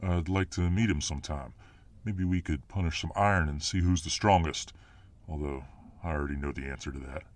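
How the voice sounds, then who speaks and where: A very low-pitched muscleman fireman voiced by a male actor for an ASMR audio drama. He is dominant and boastful, with a cocky swagger. He is protective of his lover and quick to bristle. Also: no echo. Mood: boastful, confident. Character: dominant muscular alpha fireman boyfriend, boastful, protective, hot-tempered.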